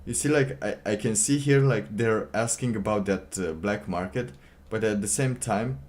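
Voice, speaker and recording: A man doing casual livestream commentary, reading aloud and reacting in a halting, sardonic way, often repeating his phrases. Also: fairly low pitch, a little mellow. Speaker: livestream host.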